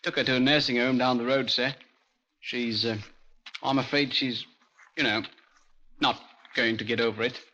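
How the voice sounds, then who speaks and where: A man acting a British village constable, deferential and kindly. His voice is bright and medium-pitched, heard through a dull old radio recording. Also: steady tone, moderate pace, pauses often, slight background noise, lightly acted. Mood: sorrowful. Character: respectful, soft-hearted British village constable, male. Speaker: police constable. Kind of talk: 1950s radio drama acting.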